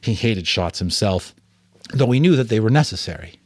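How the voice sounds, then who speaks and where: A man narrating a novel aloud as a dramatic, expressive reading. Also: on the low side, moderate pace, dry recording, studio quality. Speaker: audiobook narrator and voice actor. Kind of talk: dramatic story reading with asides.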